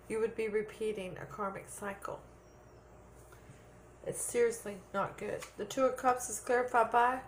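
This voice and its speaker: A woman's voice: medium pitch, slight background noise, poor audio. A woman giving a tarot reading to viewers in an earnest, repetitive way, checking in with "okay?" and losing her voice a little. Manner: earnest, repeats points with "okay?". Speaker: tarot reader.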